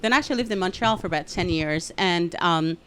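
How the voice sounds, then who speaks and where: A woman's voice: lowish voice, fast talker, noisy background. A woman in her fifties who grew up in Greece, a comedian guest telling candid stories in a casual studio interview. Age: 50s. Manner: candid, animated storyteller. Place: podcast studio.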